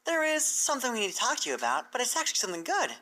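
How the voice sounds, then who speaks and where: Woman voicing an eager, high-pitched bookish pony scholar. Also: sing-song, rapid-fire, sharp tone. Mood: eager, earnest. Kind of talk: fan fiction character reading.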